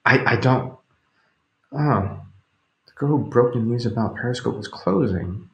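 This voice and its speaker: Man, Cantonese speaker, casual livestream chat, hesitant and rambling, medium pitch, echoey room. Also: measured pace, creaky, fairly bright tone, highs cut off. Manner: rambling, hesitant, thinking aloud. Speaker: livestream host. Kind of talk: casual live chat with viewers. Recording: roomy, echoing home recording.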